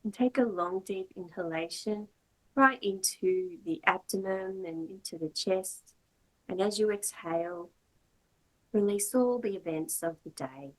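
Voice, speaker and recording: A woman yoga teacher giving slow, measured guided meditation instructions in short, gentle phrases with long pauses. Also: mid-range voice, poor audio.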